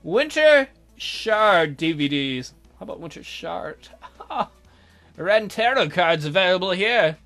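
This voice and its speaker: A man hosting a let's play, reading a visual novel aloud with expressive, wisecracking commentary. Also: sits high, slow. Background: music.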